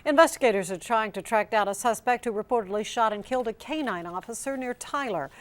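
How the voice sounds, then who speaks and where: A female anchor reads a scripted television newscast in a studio. Her pitch is slightly higher than the average woman's. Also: quick pace. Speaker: news anchor. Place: TV news studio.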